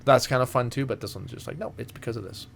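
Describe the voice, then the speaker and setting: A man's voice: mid-range voice, quick pace, creaky. A man co-hosting a podcast, chatting casually and loosely, with some room noise around him. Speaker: podcast co-host.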